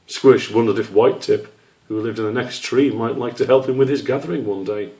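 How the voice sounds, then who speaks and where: A man's voice: medium pitch, brisk, mellow. A man narrating a children's storybook as the author reading his own work.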